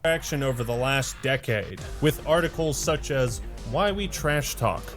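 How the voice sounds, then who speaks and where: Measured video-essay narrator, man. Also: mid-range voice, expressive. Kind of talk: scripted narration. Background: faint music.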